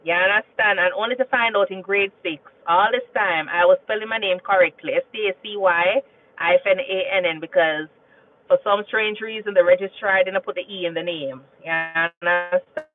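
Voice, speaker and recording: A woman's voice: fairly low pitch, normal speed. A woman from Jamaica with a Jamaican accent, chatting casually on a livestream, telling stories and often repeating herself. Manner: chatty and storytelling, repeats phrases and asks "you understand?". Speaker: nurse and livestream host. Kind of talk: casual livestream chat reading viewer comments. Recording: tinny phone-like sound.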